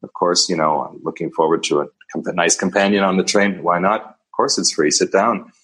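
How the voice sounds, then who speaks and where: A man's voice: low voice, a little fast, a bit bright, breathy edge. A male journalist telling an anecdote in a wry, vivid way. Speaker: journalist, interview guest. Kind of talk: anecdotal storytelling.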